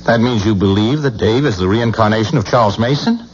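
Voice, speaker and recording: A man's voice: fairly low pitch, brisk, close to an everyday voice. An actor playing a skeptical male friend in a radio mystery drama. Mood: questioning. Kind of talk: 1970s radio drama acting. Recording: muffled, faintly noisy radio recording.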